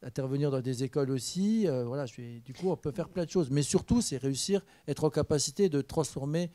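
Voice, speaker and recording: A man from France speaking on a conference panel, relaxed and joking, with many fillers. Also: on the high side, a little fast, no echo. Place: university conference room. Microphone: handheld mic.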